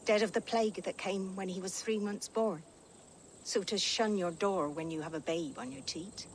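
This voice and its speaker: A woman's voice: medium pitch, steady tone, moderate pace, a little hiss or hum, lightly acted. A woman playing a plucky middle-aged peasant and protective elder sister in a video game, earthy and forthright. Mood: weary, frank. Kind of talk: video game cutscene acting.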